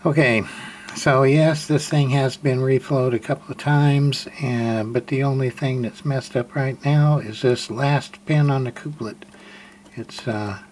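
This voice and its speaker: A man's voice: medium pitch, fairly even intonation, a little slow, a little mellow. A man casually narrating hands-on repair work as a hobbyist, plain-spoken and muttering a little as he works. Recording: clear background noise.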